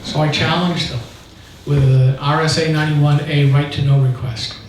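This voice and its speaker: A man's voice: a little high, level tone, normal speed. An American man giving an informal talk at a local meeting, telling his story in an emphatic, indignant, explanatory way. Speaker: activist speaking at a local political meeting. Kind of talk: informal public talk, recounting an anecdote. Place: indoor meeting room, recorded from a distance. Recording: echoey room, distant mic, faint noise.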